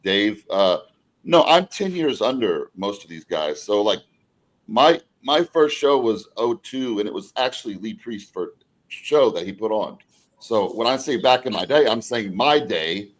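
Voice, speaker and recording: A man's voice: on the low side, expressive, measured pace. A man in his forties hosting a casual podcast chat, talking bluntly and rambling, with swearing. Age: 40s. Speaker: podcast host.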